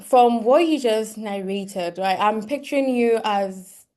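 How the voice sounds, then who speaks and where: A woman's voice: medium pitch, slow pace, warm tone. A woman from Ghana hosting an interview, asking friendly, encouraging questions. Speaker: interview host. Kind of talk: conversational interview.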